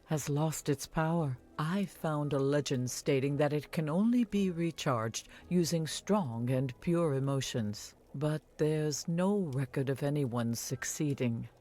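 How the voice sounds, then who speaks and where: An actress playing an older village wise woman in a video game, caring and earnest, giving measured instructions. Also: low-pitched, mellow. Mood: earnest, urgent. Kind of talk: scripted video game dialogue. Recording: music behind the voice.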